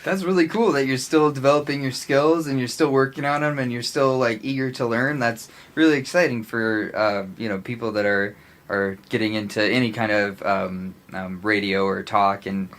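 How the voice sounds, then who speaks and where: A man's voice: lowish voice, fairly even intonation, moderate pace, fairly bright tone. A man co-hosting a podcast, chatting casually in an encouraging, somewhat hesitant way. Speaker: podcast co-host. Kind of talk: casual chat.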